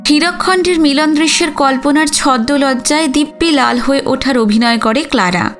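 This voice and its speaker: A woman's voice: high-pitched, moderate pace, fairly bright tone. A woman reading a literary story as a dramatic audiobook narration. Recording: music under the voice. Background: background music.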